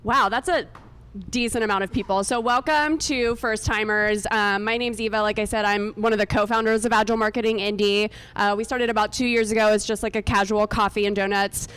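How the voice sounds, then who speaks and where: A woman's voice: medium pitch, fairly even intonation, brisk, fairly bright tone, clean tone. A woman hosting an event in a chatty, informal, joking way, speaking up into a microphone. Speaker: event host and co-founder introducing a speaker. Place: meetup event room with air conditioning.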